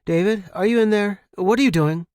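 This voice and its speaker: A man's voice: high-pitched, a little fast, a little mellow, clean tone, no room sound, clean studio sound, voice pushed up, close to an everyday voice. A man voicing a concerned female office boss in audio fiction, questioning and placating. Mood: demanding. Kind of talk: audio fiction character dialogue.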